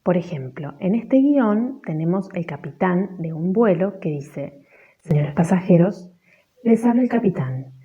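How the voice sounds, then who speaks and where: A woman's voice: fairly low pitch, animated, a little slow, dark tone, muffled recording. A woman with an Argentine accent giving instructions and examples as a teacher.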